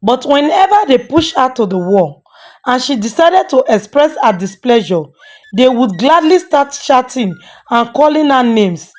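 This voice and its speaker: A woman's voice: sits high, very animated, unhurried. A woman storyteller giving a scripted narration of a folktale. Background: faint music.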